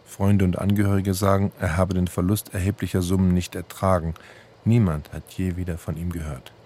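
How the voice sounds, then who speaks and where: Male narrator, low and dark-toned, factual documentary-style reading, slight background noise. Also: flat delivery, brisk. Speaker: reader.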